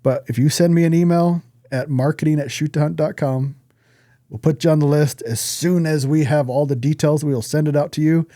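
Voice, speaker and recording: Male American podcast co-host, blunt and profane, soft-toned delivery, very dry sound. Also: on the high side, fairly even intonation, fairly quick, dry recording. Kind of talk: casual podcast chat.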